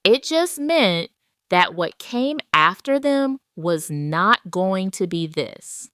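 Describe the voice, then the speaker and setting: A woman narrating a scripted first-person dramatic story in a measured, deliberate way. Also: mid-range voice, sing-song, fairly bright tone, clear, resonant tone, no room sound.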